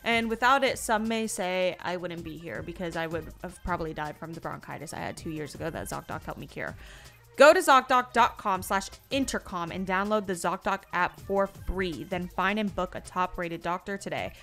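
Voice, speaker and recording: A woman's voice: fairly low pitch, moderate pace, pushed and bright, soft background music. A woman co-hosting a podcast, speaking in an informal, jokey, conversational style. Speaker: podcast co-host. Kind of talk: casual chat and ad reads.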